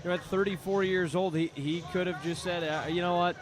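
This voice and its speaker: A man's voice: high-pitched, steady tone, brisk. A man working as color commentator on a live baseball radio broadcast, explaining the game in a reflective, conversational way. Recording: live ballpark broadcast with clear background noise. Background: outdoor ballpark ambience.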